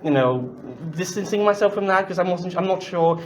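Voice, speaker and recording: High-voiced, animated man interviewing. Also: brisk. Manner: hesitant, stammering repetitions. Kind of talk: chatty convention interview. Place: busy convention venue. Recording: crowd chatter behind the voice.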